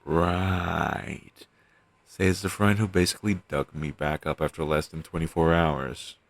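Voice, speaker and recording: A man playing a weary, caring undead best friend in an intimate audio roleplay, talking casually and earnestly with halting phrases. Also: low voice, steady tone, gravelly, no echo. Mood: wry, casual. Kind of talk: audio roleplay, intimate one-sided dialogue.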